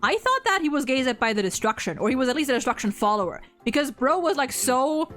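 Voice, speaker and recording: A woman with a fairly high voice hosts a casual livestream discussion. She is animated and blunt, swears easily, and speaks over faint music. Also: fast. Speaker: host ranking game story quests with a guest.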